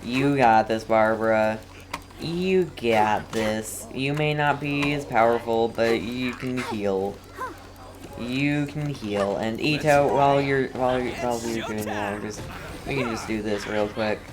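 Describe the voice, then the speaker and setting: A woman's voice: rumbling low, measured pace. A woman game streamer giving casual, rambling commentary as she talks herself through play.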